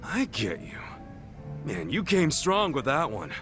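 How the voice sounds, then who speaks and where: An actor playing an earnest, excitable, loyal friend in a video game, with an eager, informal way of talking. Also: male voice, a little high, measured pace, music in the background. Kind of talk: dramatic game cutscene acting.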